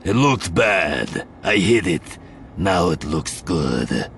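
Reptilian alien, very gravelly, slow, growling hiss, gruff, terse, audiobook creature. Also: male voice, sits low, sing-song, a bit bright, full character voice. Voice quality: growling, hissing. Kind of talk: audiobook character voice.

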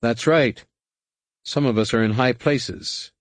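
A man's voice: medium pitch, slow pace, no echo, voice pushed up, close to an everyday voice. A man voicing a nervous, patronizing older male co-pilot turned traitor in a space adventure audiobook. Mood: smug, coaxing.

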